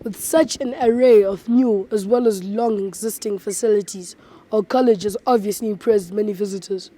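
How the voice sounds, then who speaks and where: Even-toned woman reading school news. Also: medium pitch, moderate pace. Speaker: newsreel presenter at a school. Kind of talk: scripted newsreel narration.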